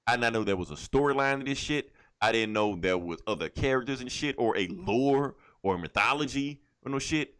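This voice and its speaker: A man speaks as a podcast co-host with a mid-range voice and very lively pitch swings. He is blunt and irreverent, swearing freely as he chats casually about entertainment. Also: a little fast, hardly any pauses, clear, resonant tone. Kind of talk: casual, profane movie chat.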